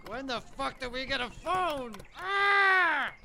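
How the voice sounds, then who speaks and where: A man playing an irate, foul-mouthed Bigfoot father who despises humans, gruff and indignant in a comedic radio play. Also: very high-pitched, animated, slow pace, noisy background, exaggerated voice. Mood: alarmed, furious. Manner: gruff grumbling that erupts into indignant ranting.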